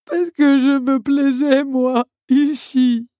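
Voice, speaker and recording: A woman's voice: medium pitch, lively intonation, warm tone, no echo, studio quality, voice pushed up. A woman voicing a fragile, tearful male automaton who stammers and sobs as he speaks, in an audiobook. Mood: wistful. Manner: sobbing, halting, stammering. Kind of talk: audiobook character voice.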